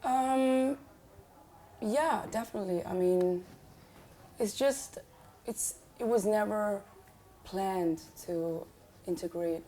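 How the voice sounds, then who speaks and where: A young woman from Switzerland, chatting casually as a musician guest in an unhurried, hesitant way with many "um"s. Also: mid-range voice, warm tone, slight background noise. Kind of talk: casual conversation.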